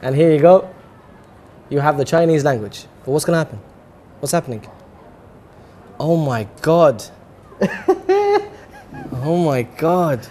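A man's voice: fairly high pitch, big pitch swings, slow, pauses often, warm tone, slight background noise. Laid-back young man hosting in a studio. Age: young adult. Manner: laughing, easygoing banter. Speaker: co-host. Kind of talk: playful studio chat game. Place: studio set with lounge chairs. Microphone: lapel.

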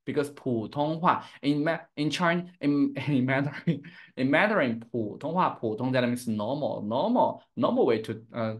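A man's voice: medium pitch, animated, normal speed. A young man from Chengdu with a Chinese accent, teaching Mandarin on a live stream and switching between English and Chinese. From Chengdu, Sichuan, China. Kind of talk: live-streamed language lesson.

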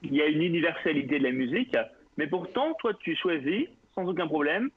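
A man hosting a live radio interview, lively and joking, often chiming in. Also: fairly high pitch, a little fast, breathy edge, phone-quality sound. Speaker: radio show host.

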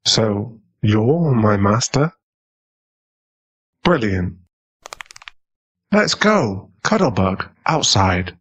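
Casting: male actor for an earnest British bruiser android, protective and up for a fight, unhurried delivery, audio roleplay. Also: on the low side, very animated, slight background noise, exaggerated voice. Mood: combative. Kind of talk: ASMR boyfriend roleplay.

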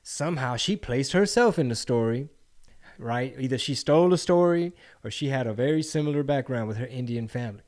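A man's voice: mid-range voice, lively intonation, a little fast, darkish tone. A man in his thirties hosting a podcast, talking casually and profanely, acting out quotes with jokes. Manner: profane, joking, acts out quotes. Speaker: podcast host. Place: home studio with brick wall. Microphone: studio mic on boom arm, headphones.

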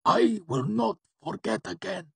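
A man's voice: fairly low pitch, lively intonation, slow pace, breathy edge, dry recording, voice pushed up, full character voice. A man voicing a cruel but servile henchman in a fantasy story, with a strained, gasping voice. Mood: gasping, earnest. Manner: choked, pleading, submissive.

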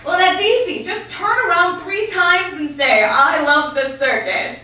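A woman voicing a teasing male head clown in a low, mocking voice. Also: unhurried, pushed and bright, exaggerated voice. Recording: echoey hall from far off.

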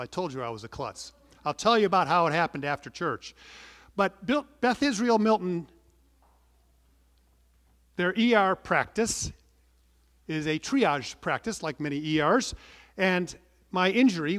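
A middle-aged man preaching a sermon from the pulpit, earnest and measured, stressing some words and letting phrase endings fall soft. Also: sits high. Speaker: pastor. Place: large wood-panelled church, indoors. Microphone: pulpit microphone. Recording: long church reverb.

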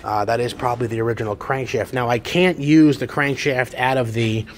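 A man's voice: mid-range voice, fairly even intonation, a little fast, a little hiss or hum. Chatty New man in a garage. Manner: chatty, enthusiastic, rambling with restarts. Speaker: classic car parts dealer and restorer. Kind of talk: casual walkaround video narration. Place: large auto repair garage.

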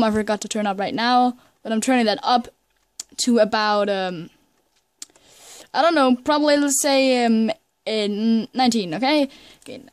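A young woman hosting a casual game let's play, chatty and rambling with lots of restarts. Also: on the high side. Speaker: gaming channel host.

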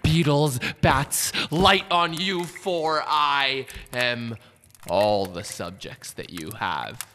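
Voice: mid-range voice, drawn-out words, bright, forceful tone, a little hiss or hum. An actor playing a bitter, resentful enslaved island monster, cursing and mocking theatrically in a Shakespearean radio play. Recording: long reverberant hall sound with music behind.

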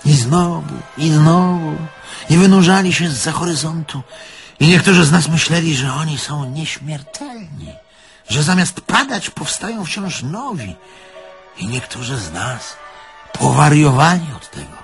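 An actor playing a nostalgic, proud older German man in an audio drama, speaking in a theatrical, deliberate, halting way. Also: fairly high pitch, lively intonation, faint music behind. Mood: haunted. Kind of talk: Polish audio drama acting.

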